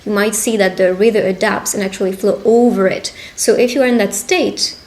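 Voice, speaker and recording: A woman speaking conversationally and encouragingly as a witch giving a personal love reading, pausing to interpret as she goes. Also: mid-range voice, steady tone, darkish tone. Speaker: tarot reader and roleplay video host. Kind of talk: intimate roleplay fortune-telling addressed to the listener.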